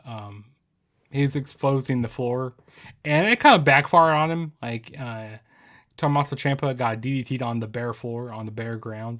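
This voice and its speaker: A man talking through a wrestling event in a loose, unscripted way. His voice sits in an ordinary middle pitch. He fills the gaps with ums and you-knows and often restarts his sentences. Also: a bit bright. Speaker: wrestling show reviewer. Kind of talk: casual spoken review.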